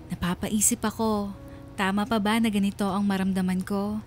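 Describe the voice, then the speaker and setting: A woman's voice: medium pitch, little pitch movement, moderate pace, a little mellow, natural voice. A woman playing a wounded letter writer, narrating her painful story reflectively in a radio drama. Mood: sorrowful, reflective. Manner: reflective, sorrowful. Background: background music.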